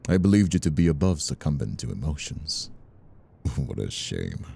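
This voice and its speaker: Man playing a very deep, gravelly mocking mobster villain. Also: sing-song, moderate pace, dark tone, heavily acted. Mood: commanding, scornful. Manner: taunting, condescending, drawling. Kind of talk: dramatic audio roleplay acting.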